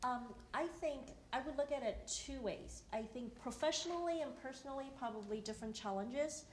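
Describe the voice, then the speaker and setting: A woman from Taiwan, answering on a panel in a measured, reflective way. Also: sits high, reverberant, slight background noise. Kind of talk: panel discussion.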